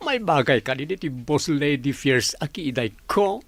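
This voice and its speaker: A woman's voice: low voice, animated, moderate pace, no echo. A woman playing a flamboyant, vain young friend in an Ilocano comedy drama, teasing and drawing out her words. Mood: breezy, coaxing.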